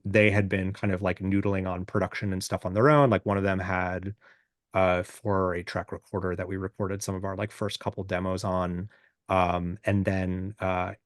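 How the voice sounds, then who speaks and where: Male podcast guest, musician. Deep voice, casual and self-effacing, searching for words, very dry sound. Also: no echo. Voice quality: deep, booming voice. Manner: halting, self-deprecating, informal. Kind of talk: casual, reflective interview chat.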